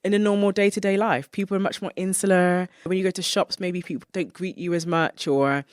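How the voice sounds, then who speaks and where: A podcast host with a Bristol accent tells stories at a brisk clip. The voice sits in a middling pitch and sounds animated and chatty. It is recorded close and dry, with no room sound. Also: no room sound. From Bristol, England. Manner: chatty anecdotal storyteller, animated. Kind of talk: casual conversational interview.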